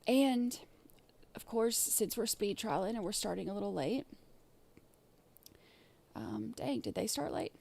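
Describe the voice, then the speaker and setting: A woman hosting a casual livestream, her throat scratchy and irritated, clearing it often and rambling with many fillers and restarts. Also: medium pitch, a little slow, pauses often, a little mellow. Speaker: livestream host.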